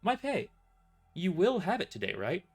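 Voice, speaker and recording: A man's voice: high-pitched, wildly swooping pitch, normal speed, creaky, slightly rough, soft background music, pitched above the performer's own voice, exaggerated voice. A man doing a sarcastic tough young woman. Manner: sarcastic, mocking.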